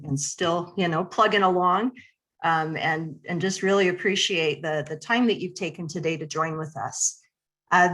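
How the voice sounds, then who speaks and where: A woman presenting a webinar over a video call, speaking in a friendly, conversational way with fillers and restarts, her voice slightly hoarse and clearing her throat. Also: on the low side, fairly bright tone. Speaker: nonprofit area director presenting a webinar. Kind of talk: online presentation to attendees.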